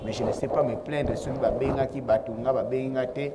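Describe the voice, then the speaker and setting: A man's voice: medium pitch, steady tone, brisk, over a noisy crowd. A man from Kongo Central answering interview questions as a rapper, proud and assertive, speaking of himself by his stage name. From Kongo Central, DR Congo. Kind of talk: interview answers mixing Lingala and French.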